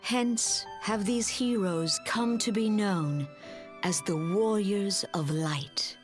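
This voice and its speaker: An actress playing a serene, wise mystic leader in a fantasy game, speaking formally and gravely with measured, archaic diction. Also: female voice, medium pitch, faint music behind, close to an everyday voice. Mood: solemn reverence. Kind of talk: scripted fantasy game cutscene acting.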